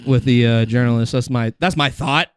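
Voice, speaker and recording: A man's voice: medium pitch, normal speed, smooth, no room sound. A man hosting a comedy podcast, chatting casually in a slangy, profane, joking way.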